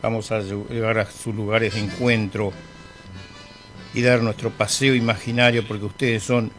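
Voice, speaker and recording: A middle-aged man with an Argentine accent, hosting a radio music show in a relaxed, rambling way between songs, with bits of humour. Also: lowish voice, moderate pace. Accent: Argentine Spanish. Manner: chatty, rambling, friendly with humour. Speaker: radio host. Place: radio studio.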